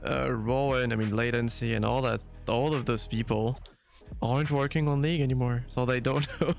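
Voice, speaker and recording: Male gaming podcast host, noticeable vocal fry, blunt informal talk, faint music bed. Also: mid-range voice, fairly even intonation, normal speed. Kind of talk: casual gaming discussion.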